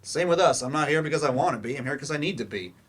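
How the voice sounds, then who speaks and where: An actor playing a working-class adult student in a staged play reading. The delivery is quick and blunt, with a weary, plain-spoken feel. The pitch sits in the middle. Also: animated, lightly acted. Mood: disgruntled.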